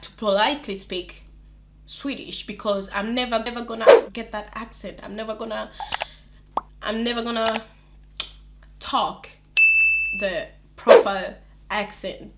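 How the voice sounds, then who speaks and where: Brief: young woman with an accent, animated vlogger, laughs and restarts a lot, talking to camera. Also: medium pitch, normal speed, very noisy. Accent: non-native English accent. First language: English counts as mother tongue, not from England or America. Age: young adult. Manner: chatty and animated, laughing often, with many restarts. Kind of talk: casual talk to camera.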